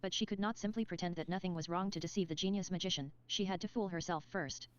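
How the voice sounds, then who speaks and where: A woman reading scripted story narration in a measured, articulate storybook manner. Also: on the low side, lo-fi sound, close to an everyday voice. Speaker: narrator of character lore.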